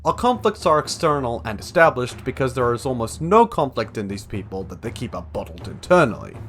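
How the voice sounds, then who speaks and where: Young man narrating with lively pitch. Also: a little hiss or hum. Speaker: video essayist. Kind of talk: scripted video essay narration.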